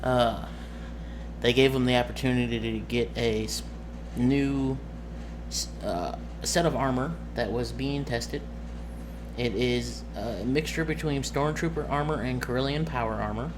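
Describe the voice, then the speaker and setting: A man's voice: medium pitch, unhurried. A man talking casually and off the cuff as a game master, rambling and often searching for words. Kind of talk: casual off-the-cuff vlog talk. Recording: noisy room ambience, amateur recording.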